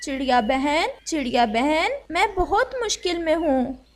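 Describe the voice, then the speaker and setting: Mother firefly, played by a woman: devoted, desperate, imploring cartoon voice, high and expressive. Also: measured pace.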